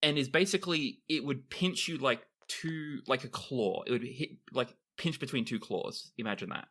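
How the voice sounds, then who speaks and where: A woman's voice: a bass voice, normal speed, a bit bright, breathy edge. A woman improvising roleplay banter and narration, exclaiming in disbelief and dropping in explanatory asides. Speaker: tabletop roleplayer on a podcast.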